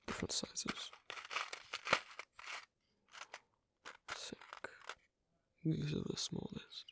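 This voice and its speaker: A performer voicing an awkward, asocial werewolf in an ASMR roleplay, speaking in a husky half-whisper with halting, terse phrases, as a non-native English speaker. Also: mid-range voice, dry recording, heavily acted. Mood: gentle, hesitant. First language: not English. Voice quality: breathy, husky. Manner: halting, terse, quiet instructions with clipped grammar. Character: asocial, awkward werewolf teaching sewing on a date.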